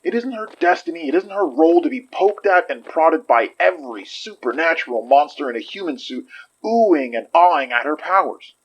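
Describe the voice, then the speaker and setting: A man's voice: a little high, very animated, a little fast, gravelly, husky, dull audio, full character voice. A man playing a stubborn, protective detective in a horror audio drama, arguing emphatically and with exasperation. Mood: exasperated. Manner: emphatic, argumentative.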